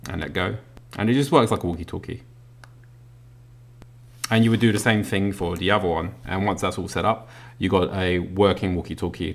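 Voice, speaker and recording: A man with a deep voice walks through a product unboxing in a loose, explanatory way. His voice has a rough, gravelly edge, and he fills his pauses with ums and uhs while he fiddles with the device. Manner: demonstrating a gadget while talking. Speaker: product reviewer. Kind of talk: unboxing walkthrough.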